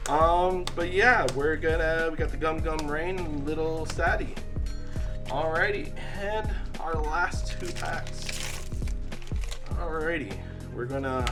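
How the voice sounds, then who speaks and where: Young guy w a stutter opening card packs, says um and uh a lot, voice a bit high, music playing clearly behind him. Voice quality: has a stutter, uses um and uh as fillers. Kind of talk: casual chat while opening card packs.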